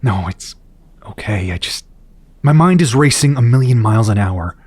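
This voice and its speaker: Casting: troubled hero and worried father, rough husky low voice, brooding and halting. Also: expressive, darkish tone. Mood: worried, despondent. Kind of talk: audio drama acting.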